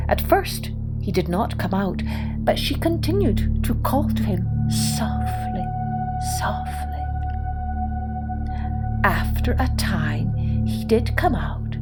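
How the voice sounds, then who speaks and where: A woman narrating a scripted children's story in an expressive way, dropping to a hush for suspense. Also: fairly high pitch. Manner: expressive, hushed at suspenseful moments. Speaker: storyteller, podcast host.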